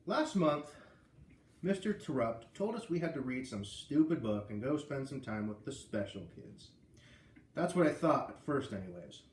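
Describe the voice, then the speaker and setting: A man's voice: medium pitch, lively intonation, normal speed, a little mellow, echoey room, slight background noise. A man with an American accent reading a children's book aloud, with casual asides. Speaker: read-aloud reader.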